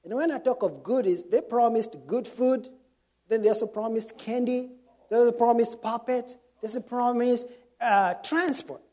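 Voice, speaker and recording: A man's voice: very high-pitched, moderate pace. Kenyan man giving church testimony. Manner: warm storytelling with frequent fillers. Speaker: missionary speaker.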